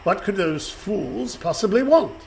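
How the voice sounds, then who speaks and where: Pompous high-voiced pirate captain. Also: male voice, lively intonation, a little slow, creaky, darkish tone, full character voice. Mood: indignant, commanding. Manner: pompous, theatrical, mock-courteous. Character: blustering, villainous pirate captain, pompous and menacing. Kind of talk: radio play acting. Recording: faint background noise.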